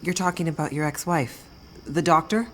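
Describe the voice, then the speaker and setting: A woman's voice: sits low, normal speed, fairly bright tone, slight background noise. An actress playing an anxious, questioning younger female assistant in a video game cutscene.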